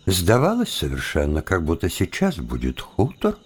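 A carefree young seminary philosopher in an audio drama. His voice is low and husky, with hugely varied, emphatic intonation and earthy colloquial speech. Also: huge pitch swings, normal speed, darkish tone, exaggerated voice. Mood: puzzled, annoyed. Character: cheerful young seminary philosopher, carefree drinker, puzzled on road.

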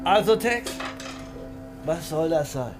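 An actor playing a domineering, menacing band leader and boss in a radio play, gruff and bossy. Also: male voice, a little high, expressive, slow pace. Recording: music behind the voice.

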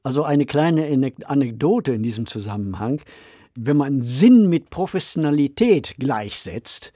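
A man's voice: mid-range voice, expressive, unhurried, mellow, dry recording, studio quality. A man co-hosting a podcast, presenting in a scripted style. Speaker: podcast co-host.

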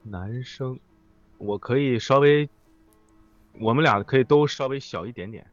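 A man's voice: mid-range voice, a little slow, no echo. A man chatting casually as a guest on a live stream, joking and reassuring. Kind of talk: casual banter. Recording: dull lo-fi stream audio with music behind. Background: music.

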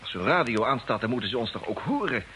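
A man acting an excitable, eager radio operator aboard a spaceship in an old radio play. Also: mid-range voice, fast, natural voice. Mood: excited. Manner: animated, eager. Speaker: radio drama actor. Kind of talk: mid-century Dutch radio play acting. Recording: old radio recording, faint hiss.

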